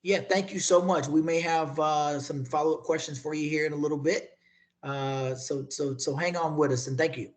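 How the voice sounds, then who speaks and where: A man hosting a virtual hiring event over a video call, halting and repeating words, with many fillers. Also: a little high, steady tone. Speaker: event host and moderator.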